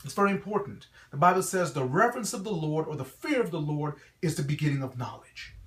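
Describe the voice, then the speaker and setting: A man's voice: on the high side, expressive, quick pace, fairly bright tone, recorded from a distance. A middle-aged man with a slight Guyanese accent gives an earnest, formal address with the emphatic delivery of a preacher. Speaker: school founder and reverend. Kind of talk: earnest promotional address to camera. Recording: echoey, reverberant room.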